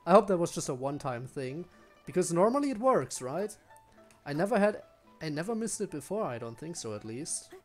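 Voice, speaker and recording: A man's voice: fairly high pitch, sing-song, brisk, soft background music. A man giving casual, rambling live game commentary, musing aloud to his viewers. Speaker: game streamer.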